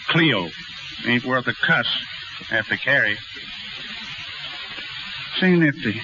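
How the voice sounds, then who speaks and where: An actor playing an aging, loyal carnival worker with slangy, folksy talk in a 1930s radio drama. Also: male voice, mid-range voice, natural voice. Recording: noisy muffled 1930s radio recording.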